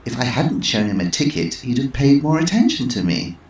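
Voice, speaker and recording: A man's voice: mid-range voice, very animated, brisk, pitched above the performer's own voice, close to an everyday voice. A man voicing a sly old woman who talks in a dry, knowing, tart way. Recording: roomy echo.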